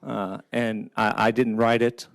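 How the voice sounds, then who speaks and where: A man questions a fellow legislator during a hearing, pointed and incredulous as he presses her. His voice is lowish and gravelly with fry. It sounds dry and close. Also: flat delivery, a little slow, no echo. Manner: pointed, incredulous cross-examining. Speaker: state legislator questioning a colleague.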